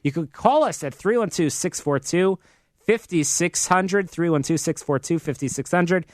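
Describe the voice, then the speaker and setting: A man's voice: a little high, normal speed, darkish tone, no echo. An American man hosting a live business talk radio show from a studio in an upbeat, promotional manner.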